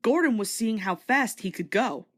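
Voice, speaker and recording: A woman reading a children's story aloud as an expressive, scripted read-along narrator. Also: on the high side, moderate pace.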